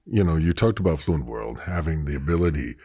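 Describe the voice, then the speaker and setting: A man's voice: a bass voice, lively intonation, a little fast, dark tone, breathy edge, no echo, clean studio sound. A middle-aged man hosting an interview, enthusiastic and asking long leading questions. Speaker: podcast host. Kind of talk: interview conversation.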